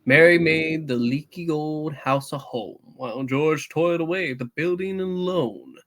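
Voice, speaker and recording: A man's voice: fairly high pitch, moderate pace, fairly bright tone, natural voice. A man reading as the storyteller of a small-town Christmas tale in a scripted radio play. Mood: storytelling.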